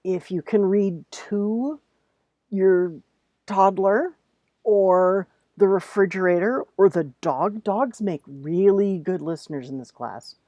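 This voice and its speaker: A woman giving a casual, conversational writing lesson, reading with deliberate emphasis and commenting plainly. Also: mid-range voice, dark tone, no echo, highs cut off. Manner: emphatic, reads examples aloud deliberately, then comments plainly; informal, conversational. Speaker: writing teacher. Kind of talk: casual instructional talk to students.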